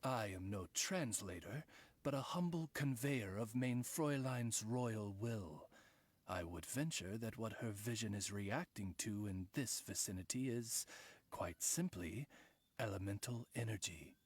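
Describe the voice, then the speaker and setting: A man's voice: lowish voice, measured pace, breathy edge, no room sound. A man voicing a dignified, eloquent familiar who serves a princess with formal, deferential speech in a video game. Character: courtly male familiar, dignified servant of a princess.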